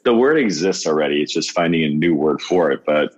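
A man's voice: very deep voice, animated, a little fast, lo-fi sound. A man in his fifties, a podcast guest talking earnestly in casual conversation, laughing often and restarting his sentences. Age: 50s.

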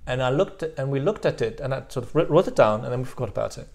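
A man's voice: medium pitch, expressive, racing, vocal fry, a little mellow. A man from Belgium in his forties, speaking as a podcast guest in a reflective way, with many restarts and repeated words. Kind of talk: reflective interview chat.

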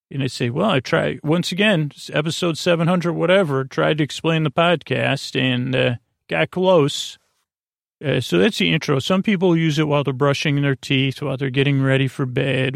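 A man's voice: on the high side, creaky, no room sound, clean studio sound. A man hosting a bedtime podcast in a meandering, self-deprecating way, stammering and repeating words as he goes. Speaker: podcast host. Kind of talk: rambling bedtime podcast intro.

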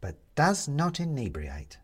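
A man's voice: mid-range voice, very animated, unhurried, a little mellow, breathy edge. A man voicing a tiny, prim Victorian china lady ghost in a storybook, solemn and bossy, relishing her words. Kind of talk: storybook character voice.

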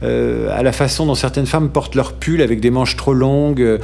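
A middle-aged man from France in an interview, talking thoughtfully with frequent "euh" pauses and restarts and some dry humor. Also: mid-range voice, creaky, a little mellow. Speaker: interview guest. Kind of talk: hesitant conversational interview.

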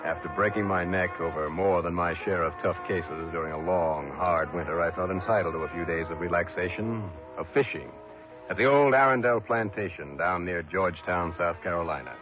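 An actor playing a wry, reluctant insurance investigator in a 1960s radio detective drama. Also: male voice, low-pitched, brisk, natural voice. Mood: wry, resigned. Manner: wry, hard-boiled first-person narrating. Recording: dull old radio drama, music behind.

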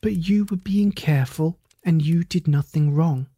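A narrator doing a calm, wise mother otter. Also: medium pitch, moderate pace, breathy edge, no room sound. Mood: gentle. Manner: calm, soothing. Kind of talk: bedtime story dialogue.

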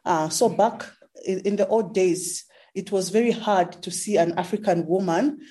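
A woman's voice: mid-range voice, moderate pace, dark tone. A woman in her thirties with a Zambian English accent, answering interview questions in a conversational way. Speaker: interview guest, astrophysics researcher.

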